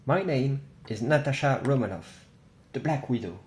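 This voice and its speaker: A man voicing a composed female secret agent in a homemade toy film, measured and matter-of-fact. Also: mid-range voice, expressive, a little mellow, echoey room, lightly acted.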